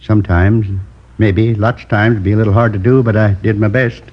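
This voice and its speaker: A man's voice: lowish voice, fairly even intonation, lightly acted. A man playing a lonely old drifter, wistful. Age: 70s. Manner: slow, rambling, wistful confession. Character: lonely old male drifter turned robber. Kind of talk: 1950s radio drama acting. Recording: dull old radio broadcast.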